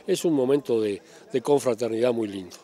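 A man's voice: medium pitch, fairly quick, dark tone. An older man with a Uruguayan accent giving an interview outdoors into a handheld microphone. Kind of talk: spoken interview answer. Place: outdoors at a showground.

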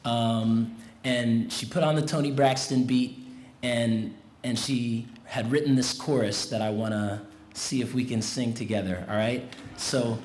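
A man in his thirties giving a commencement speech at a podium mic in a big tent, talking in a relaxed, conversational way and getting the crowd involved. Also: mid-range voice, measured pace. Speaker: commencement guest speaker. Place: large tent at an outdoor graduation. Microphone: podium mic on a stand. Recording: roomy tent sound with faint noise.

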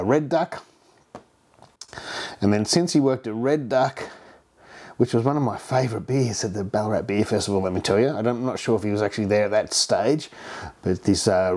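A man's voice: mid-range voice, darkish tone. A man in his fifties with an Australian accent, hosting a home brewing vlog from his home bar in a chatty, rambling way full of ums and restarts. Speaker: home brewing channel host. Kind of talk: casual beer review vlog. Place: home bar room, indoors.

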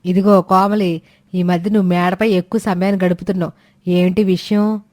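A woman voicing a harsh, scolding mother-in-law in an animated story, taunting and demanding. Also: lowish voice, a little fast, lightly acted. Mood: suspicious.

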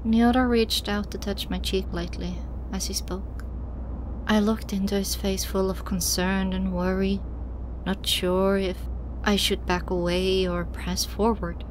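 A woman's voice: mid-range voice, little pitch movement, a little slow, background noise. A woman narrating a scripted first-person story as an audiobook reader. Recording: music behind the voice.

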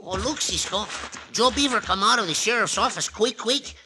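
Comic sidekick, high bright put-on Mexican accent, broken English, faint hiss. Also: male voice, animated, normal speed. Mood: excited. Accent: Mexican Spanish, put on. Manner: broken English, talks of himself by name. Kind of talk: 1950s radio drama acting.

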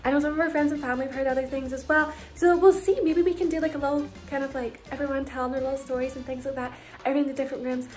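A woman's voice: high-pitched, fairly even intonation, rapid-fire, hardly any pauses, darkish tone. A young woman in her twenties giving a casual, giggly house tour to her viewers, chatting into a phone held at arm's length in a bedroom. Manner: giggly, bubbly, lots of "like" and "you guys".

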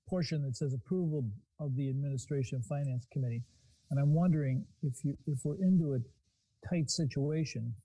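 A man on a county board asking a question in a halting, hesitant way at a meeting. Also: mid-range voice, dark tone, slightly rough, no room sound, rough recording.

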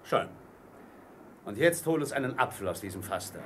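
Radio play: crafty old sea-cook pirate, commanding, mid-pitched, faint background noise. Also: male voice, big pitch swings, moderate pace, a bit bright. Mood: menacing, commanding. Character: wily one-legged old pirate ship's cook, commanding.

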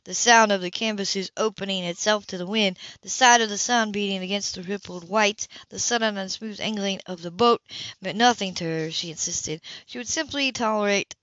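A woman's voice: mid-range voice, moderate pace, a bit bright, no echo, lo-fi sound. A woman narrating a novel as an amateur reader, now and then stumbling and rereading words. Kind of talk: scripted fiction narration.

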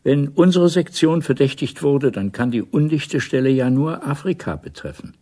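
An actor playing a guarded middle-aged intelligence officer in a 1980s radio play, measured, probing and quietly insistent. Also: male voice, mid-range voice, big pitch swings.

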